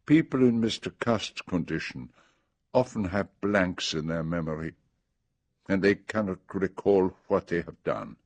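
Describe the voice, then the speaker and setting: An actor playing a fussy, shrewd older detective with a Belgian French accent in a radio drama, speaking precisely and deliberately. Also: male voice, low voice, a little mellow, dry recording. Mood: methodical, explaining.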